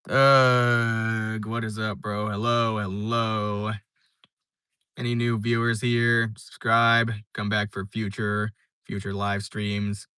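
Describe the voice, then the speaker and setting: A man's voice: fairly low pitch, little pitch movement, takes it slowly, bright, forceful tone, clear, resonant tone, no room sound, studio quality. A young man live streaming, chatting casually and reading viewer comments, rambling with lots of fillers and restarts. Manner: laid-back, rambling, many fillers and restarts.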